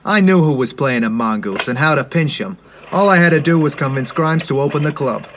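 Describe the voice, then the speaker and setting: An actor playing a cocky, slangy, wisecracking private eye who narrates a parody 1950s radio noir drama. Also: male voice, fairly high pitch, brisk, a bit bright, lo-fi sound, slight background noise, natural voice. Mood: knowing, storytelling. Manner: smug, slangy. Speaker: lead detective and narrator.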